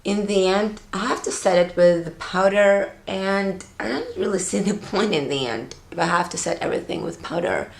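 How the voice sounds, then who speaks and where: A woman's voice: medium pitch, moderate pace, few pauses, roomy sound. A woman in her thirties from Latvia, chatting casually through a makeup tutorial on her sofa, rambling with fillers. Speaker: beauty vlogger. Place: living room, on a sofa, indoors.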